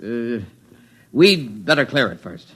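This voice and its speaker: An actor playing a brisk, no-nonsense police sergeant who gives curt orders, in a 1950s radio drama. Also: male voice, medium pitch, very animated, breathy edge, full character voice. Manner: curt, commanding.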